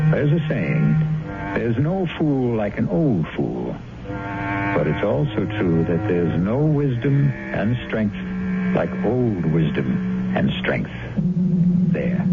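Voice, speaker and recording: A man hosting a radio mystery show, giving a measured, wry, theatrical closing narration. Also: fairly low pitch, creaky. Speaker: host and narrator. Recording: tinny old radio broadcast with music.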